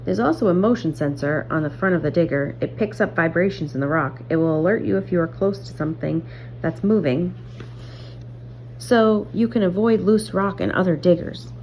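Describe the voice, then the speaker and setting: A woman voicing a stern, commanding teenage girl miner in a storybook reading, brisk and military. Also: lowish voice, darkish tone, close to an everyday voice. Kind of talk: acted dialogue in a storybook reading. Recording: muffled audio, clear background hum.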